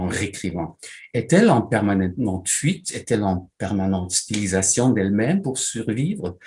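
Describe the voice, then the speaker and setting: A man whose first language is German, a literary translator asking questions and giving measured, prepared remarks in an interview. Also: fairly low pitch, darkish tone.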